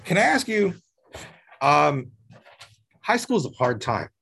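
A man's voice: fairly high pitch, very animated, slow, creaky, fairly bright tone, husky. A man hosting a casual podcast interview, asking probing questions with a teasing, joking manner. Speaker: podcast host and program mentor. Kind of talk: casual interview with students and guest.

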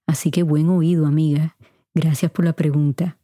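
Middle-aged woman with a light puerto rican accent, low mellow voice, chatty podcast host, dry close sound. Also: flat delivery, no room sound. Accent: Puerto Rican Spanish, kept mostly neutral. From Puerto Rico. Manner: chatty, unhurried, speaks straight to listeners. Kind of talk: answering listener questions. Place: home recording room. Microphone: studio mic.

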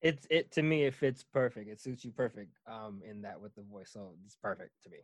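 A man's voice: on the low side, expressive, brisk, no room sound. Chatty, laughing male interviewer. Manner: chatty, laughs often, rambling. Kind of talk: casual interview chat.

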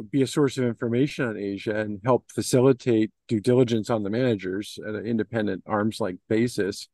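A man's voice: lowish voice, darkish tone, dry recording, clean studio sound. A middle-aged man answering questions in an informal business interview, with hesitant, meandering phrasing full of filler phrases. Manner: hesitant, meandering phrasing with many you-knows. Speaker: interview guest, investment firm executive.

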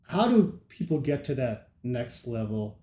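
A male podcast host with a mellow, warm voice, asking eager, rambling questions that circle back on themselves. His voice rings in a roomy space with noticeable echo. The delivery is casual and conversational. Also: mid-range voice, sing-song, a little slow. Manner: eager, loose phrasing with repeated words. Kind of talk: podcast interview.

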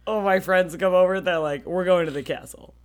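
Animated American woman co-hosting a podcast. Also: on the low side, a little fast. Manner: animated, sweary, slangy, self-deprecating. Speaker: podcast co-host. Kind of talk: casual comic storytelling chat.

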